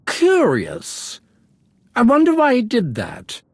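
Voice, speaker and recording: Game archaeologist: bookish, precise, husky and unhurried, full of wonder. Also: male voice, very high voice, huge pitch swings, no echo, full character voice. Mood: fascinated. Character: fussy, scholarly male archaeologist full of wonder. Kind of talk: video game voice acting.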